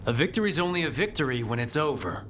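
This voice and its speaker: A man playing a resolute adventurer and leader in a fantasy video game, speaking in a measured, earnest way. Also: on the high side, big pitch swings, a bit bright, a little hiss or hum. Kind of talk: acted game dialogue. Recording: game audio with clear background ambience.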